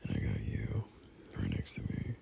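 A man playing a gentle, teasing young boyfriend in an intimate ASMR roleplay, speaking softly and breathily, often half-whispering in a hesitant, soothing way. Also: moderate pace, lightly acted. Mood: soft, relaxed. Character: gentle, teasing young boyfriend comforting his scared partner. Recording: faint background hiss.

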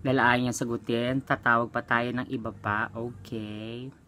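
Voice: mid-range voice, little pitch movement, moderate pace, bright, forceful tone, a little hiss or hum. A Filipino vlogger with a playful, giggly way of talking, chatting casually while making prank phone calls.

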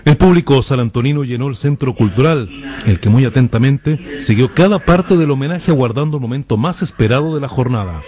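A male reporter with a Chilean accent narrates a television news piece. His pitch is medium and the delivery is scripted. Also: a little fast, mellow.

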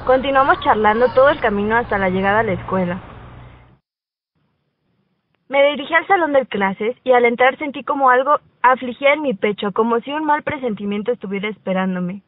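Young woman playing a high-voiced teenage schoolgirl. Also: brisk, phone-quality sound, slight background noise, close to an everyday voice. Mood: calm, storytelling. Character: teenage schoolgirl heroine narrating her own sad story. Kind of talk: first-person radio drama narration and dialogue.

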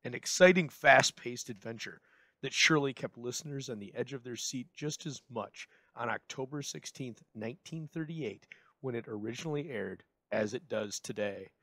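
A man's voice: mid-range voice, moderate pace, a bit bright, no echo, low-quality recording. A man giving a scripted, dramatic introduction with theatrical phrasing. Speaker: presenter introducing an old radio show.

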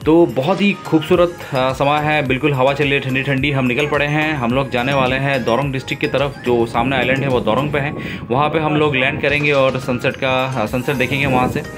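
A man's voice: mid-range voice, brisk, over music. Easygoing man narrating a travel vlog. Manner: relaxed, enthusiastic, frequent fillers. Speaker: travel vlogger. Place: outdoors on a river boat and island.

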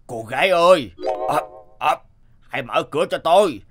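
A man voicing a calm, insistent enchanted frog in a cartoon fairy tale, measured, courteous and commanding. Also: a little high, faint music behind. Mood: coaxing.

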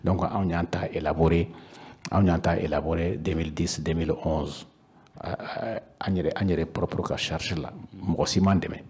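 A man in his fifties with a very low, husky voice and a Malian accent. He explains things to a panel, pausing and repeating words as he searches for the next phrase. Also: fairly even intonation, a little mellow. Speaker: regional council official, panel guest. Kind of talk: panel discussion, explaining in Bambara mixed with French. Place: indoor panel room. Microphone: handheld microphone with foam cover.

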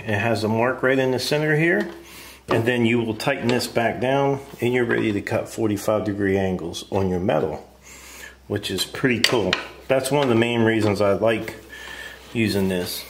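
A middle-aged American man talks through a casual hands-on demonstration. His manner is plainspoken and folksy, with a mid-pitched voice. It is recorded in a roomy workshop shed with echo and noticeable noise behind him. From United States. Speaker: homestead vlogger reviewing a tool. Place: open wooden workshop shed. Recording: roomy echo, clear background noise, clatter.

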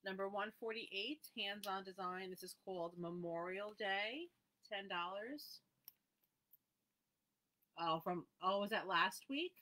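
A woman's voice: mid-range voice, slow, pushed and bright, low-quality recording. A woman hosting a live needlework sale, reading out item numbers and prices and chatting with viewers by name. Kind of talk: live sales presentation, casual chat.

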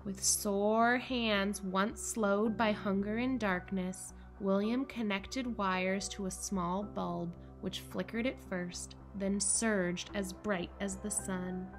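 A woman in her thirties reads and chats to children in an animated, encouraging way, as a picture-book storyteller. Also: medium pitch, slow pace, few pauses, faint music behind. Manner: animated, encouraging, wide-eyed emphasis. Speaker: children's story reader, camp director. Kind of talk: expressive picture-book read-aloud and chat to children. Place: small indoor playroom.

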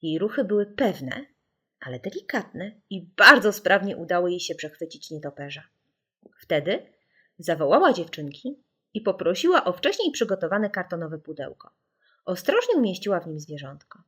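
A woman narrating a children's audiobook in an expressive, scripted storytelling style. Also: on the low side, normal speed, breathy edge. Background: music.

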